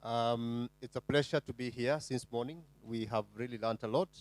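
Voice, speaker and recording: A man's voice: mid-range voice, normal speed, darkish tone, dry recording. A man who works as an HR consultant, speaking easygoingly and carefully on a panel, with frequent ums. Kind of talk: panel discussion.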